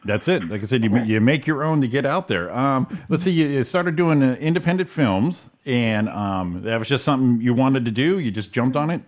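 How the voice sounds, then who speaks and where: A man's voice: mid-range voice, animated, fairly quick, hardly any pauses. A man co-hosting a radio morning show, speaking hesitantly with many fillers. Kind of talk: casual interview chat.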